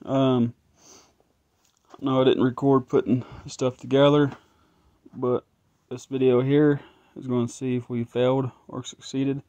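A man's voice: medium pitch, little pitch movement, unhurried, mellow, no echo, muffled recording. A man thinking aloud as he tests an engine at his garage workbench, casual and a bit frustrated. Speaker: home mechanic vlogger. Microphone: phone camera held close.

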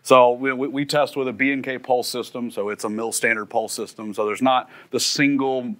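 A man's voice: mid-range voice, fairly even intonation, normal speed, fairly bright tone. A man in his thirties giving a casual, detailed technical explanation as a factory tour guide, in a matter-of-fact way. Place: indoors, sound-testing room and factory floor.

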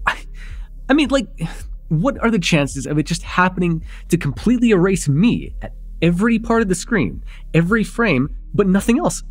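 A young man playing an excitable vampire in an immersive roleplay, casual and halting, insistent and teasing as he repeats himself. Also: sits high, soft background music. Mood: eager, flustered. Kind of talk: immersive ASMR roleplay acting.

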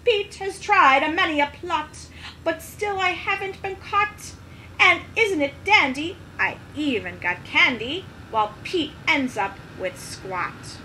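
A woman's voice: high voice, expressive, slow, pushed and bright, slight background noise, pitched above the performer's own voice, exaggerated voice, poor audio. A woman voicing a crafty, teasing little male leprechaun from a storybook, in a sing-song, taunting manner. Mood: gloating.

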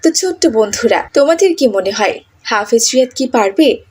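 A woman's voice: a little high, lively intonation, normal speed, darkish tone, slight background noise. A woman telling a cartoon story in scripted narration.

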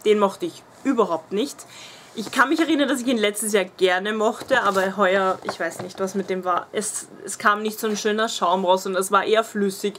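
A woman's voice: medium pitch, fairly even intonation, moderate pace, a bit bright, slight background noise. A woman in her twenties with a Viennese accent, chatting casually and gushing about used-up beauty products, laughing often, in a small room at home. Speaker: beauty vlogger. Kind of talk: casual empties review.

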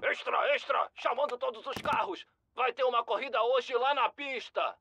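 A man with a Brazilian accent voicing an excited talking radio that proclaims like a race announcer in a children's cartoon dub. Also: very high-pitched, normal speed, slight background noise, full character voice. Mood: urgent. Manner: loud, proclaiming.

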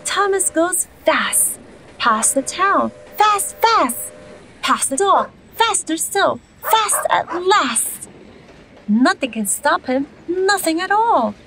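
Female storyteller for picture books, slow and very lively, slight background noise. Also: extremely high pitch. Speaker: storyteller reading a children's book aloud. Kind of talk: expressive read-aloud storytelling.

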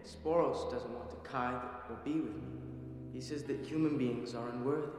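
A man's voice: mid-range voice, fairly even intonation, moderate pace, natural voice. A man as an earnest young companion, heavily echoing. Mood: troubled. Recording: cavernous reverb with music.

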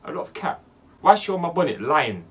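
A young man in his twenties with a London accent, hosting a music reaction video in casual slang-heavy banter, swinging from loud bursts to low mutters. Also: very animated, fast talker, slightly rough. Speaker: music reaction channel host. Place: small dark room indoors.

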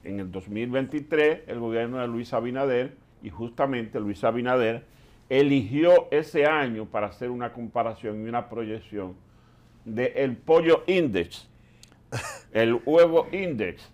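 A man's voice: medium pitch, a little slow, darkish tone. Man hosting a news show. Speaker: TV show host. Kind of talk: introducing a segment on a news show.

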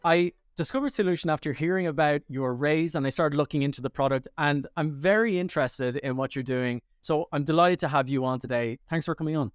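A man's voice: a little high, brisk, hardly any pauses, clean tone, dry recording, studio quality, faint music behind. Upbeat man hosting a podcast. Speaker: podcast host. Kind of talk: interview host on a video call.

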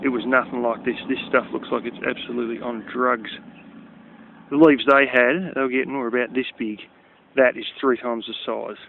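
A man's voice: medium pitch, fairly even intonation, moderate pace, creaky. A man with an Australian accent, casually rambling as he walks outdoors by a river, blunt and chatty with offhand swearing. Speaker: vlogger. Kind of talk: casual walking commentary. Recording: tinny phone-like outdoor recording.

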